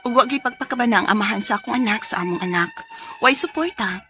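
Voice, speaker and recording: A woman's voice: a little high, quick pace, bright, forceful tone. An actress playing a hot-tempered, desperate young single mother with a Cebuano accent in a radio drama, emotional and accusing. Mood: troubled, pleading. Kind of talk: Filipino radio drama acting.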